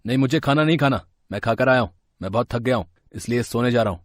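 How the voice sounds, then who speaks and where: An actor playing an irritable, selfish husband in a storybook family drama, husky-voiced, impatient and insistent. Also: male voice, mid-range voice, fast talker, dry recording, studio quality, close to an everyday voice. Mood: irritated.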